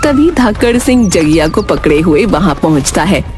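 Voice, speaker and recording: Woman telling a kids story, lowish voice, music clearly playing behind her. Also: very animated, moderate pace, a little mellow. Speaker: narrator. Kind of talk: scripted children's story narration.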